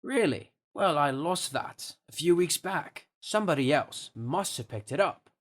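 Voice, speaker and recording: An actor playing an evasive, polite soldier in an audio play, deferential and affectedly well-spoken. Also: male voice, a little high, expressive, measured pace. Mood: guarded, polite. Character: evasive, polite male soldier suspected of murder.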